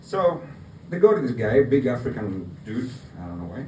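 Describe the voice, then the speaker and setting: Amateur male comic, Greek accent, animated pitch, halting casual storytelling, caught from a distance in an echoey room. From Greece. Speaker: amateur stand-up comedian. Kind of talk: stand-up comedy storytelling to an audience. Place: live open mic night in a bar. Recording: roomy, distant mic, noisy bar open mic. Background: room noise, audience laughter.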